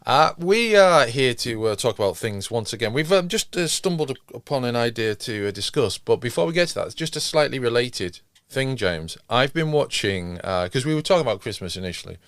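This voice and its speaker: A man's voice: mid-range voice, expressive, a little fast, hardly any pauses, fairly bright tone, no echo, studio quality. A man hosting a podcast in casual chat, with a hesitant, rambling way of talking. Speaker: podcast host.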